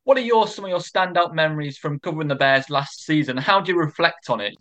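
A man interviewing a guest, putting slow, measured questions. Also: on the high side, expressive, fairly bright tone. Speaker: podcast interviewer.

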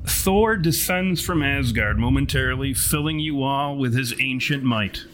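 A man's voice: medium pitch, a little slow, a bit bright, a little hiss or hum, poor audio. A man working as game master, narrating a horror tabletop game in grandiose, wordy, wry language. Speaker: podcast writer and artist.

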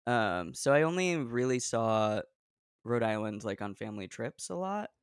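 A young man from Oregon hosts a loose comedy chat, trading deadpan jokes with a playful edge. His voice sits at an ordinary middle pitch. It is recorded close and dry, with almost no room sound. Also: moderate pace, smooth, no echo. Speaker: podcast host. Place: New York.

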